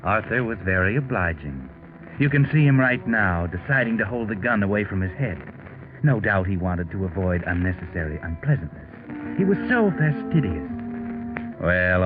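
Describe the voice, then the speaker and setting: An actor playing a sardonic, knowing narrator in a 1940s radio mystery, insinuating and ironic. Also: male voice, low voice. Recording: narrow 1940s radio broadcast recording. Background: music.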